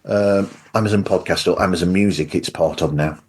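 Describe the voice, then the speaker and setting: Low-pitched male podcast host. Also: brisk, darkish tone, slightly rough. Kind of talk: conversational podcast presenting.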